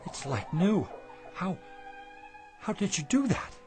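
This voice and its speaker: Weary male shopkeeper, skeptical, hungry, emphatic, lively intonation, radio drama. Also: high-pitched, slow pace, over music, full character voice. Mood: excited, greedy. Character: struggling, world-weary shopkeeper husband, skeptical then hungrily eager.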